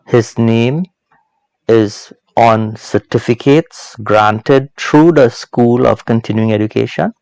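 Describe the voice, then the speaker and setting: Man teaching reflectively in a gravelly voice. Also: mid-range voice, a little mellow, no room sound, poor audio. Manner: deliberate, emphatic, with repeated phrases and rhetorical questions. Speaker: host of a Bible proverbs teaching series. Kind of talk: reflective, sermon-like informal teaching.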